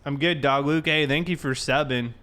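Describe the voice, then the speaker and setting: A man's voice: fairly high pitch, fairly even intonation, normal speed, bright, forceful tone. A man hosting a casual game livestream, thinking aloud and talking informally to his viewers. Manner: informal, thinking aloud while reading chat. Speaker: live streamer, host.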